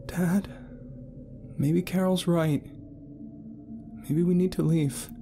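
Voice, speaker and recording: A fragile, guilt-ridden young woman, as a man puts her on, soft and hesitant. The voice sits raised and moves slowly. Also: high-pitched, fairly even intonation, soft background music, voice pushed up. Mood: timid.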